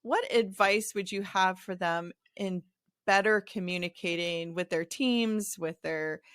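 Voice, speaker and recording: A woman's voice: medium pitch, a little slow, dry recording, studio quality. Chatty middle-aged woman podcast host. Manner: chatty, animated storytelling with playful asides. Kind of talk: conversational interview.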